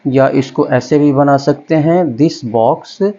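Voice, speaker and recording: Guy teaching grammar in hindi, super slow, repeats every word, kinda dull lo-fi sound. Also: a little high, mellow. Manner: repeats and spells out each word slowly while writing. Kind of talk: Hindi-medium grammar lesson, slow dictation. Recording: dull lo-fi recording.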